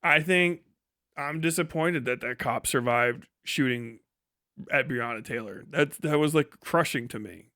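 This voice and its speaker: A man's voice: mid-range voice, a little slow, sharp tone, dry recording, studio quality. An American man hosting a livestream, talking casually with blunt, opinionated, sweary commentary. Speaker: livestream host.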